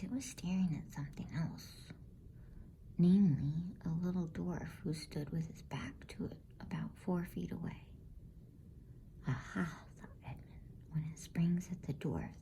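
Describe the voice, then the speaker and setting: A woman reading a storybook as ASMR narration in a hushed, soothing, unhurried whisper close to the microphone. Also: lowish voice, creaky, low-quality recording. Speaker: bedtime story reader.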